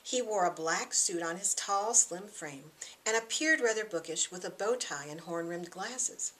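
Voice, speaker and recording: A middle-aged woman reading her own novel aloud in expressive scripted narration. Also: fairly low pitch, measured pace, rough recording.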